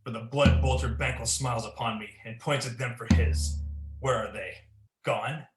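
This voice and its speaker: A man's voice: mid-range voice, moderate pace, faint music behind, natural voice. A young man playing an ambitious, guilt-ridden king in a Shakespearean radio play, declaiming in a commanding, theatrical way. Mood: horrified, angry. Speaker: student performer. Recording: echoey room.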